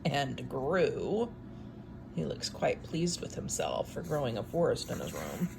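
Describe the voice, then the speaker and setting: A woman reading a picture book aloud in an expressive, unhurried way, with wry, casual asides. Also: very deep voice, vocal fry, noisy background.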